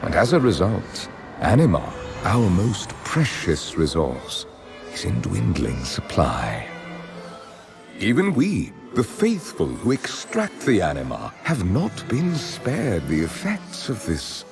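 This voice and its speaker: A man playing a haughty aristocratic vampire lord in a video game, speaking in a grandiose, deliberate, theatrical manner. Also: on the low side, huge pitch swings, vocal fry, music in the background, exaggerated voice. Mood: pompous lamenting. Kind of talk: dramatic video game acting.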